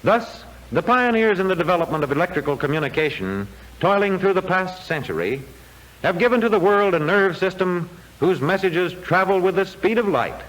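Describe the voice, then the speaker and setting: A man reading formal, measured, declamatory narration for a 1940s educational film, on an old soundtrack. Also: high voice, lively intonation, fairly bright tone, low-quality recording.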